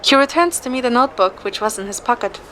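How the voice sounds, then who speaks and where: High-voiced woman narrating a story. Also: expressive, fast, a bit bright, slight background noise. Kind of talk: scripted first-person story narration.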